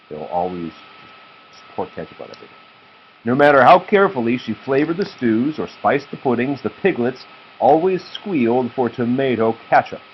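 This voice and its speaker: A man reading a children's story aloud as a father does, patiently stopping to explain words and answer questions. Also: mid-range voice. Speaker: father reading a picture book to his children. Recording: dull home recording with faint noise.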